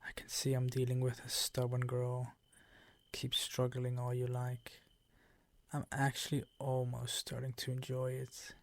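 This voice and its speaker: Man playing a slow, gravelly vampire count. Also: medium pitch, monotone, lightly acted. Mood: smug, amused. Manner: slow, ornate, condescending seductive purr. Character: suave, menacing older male vampire count, possessive and hungry. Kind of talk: ASMR roleplay monologue.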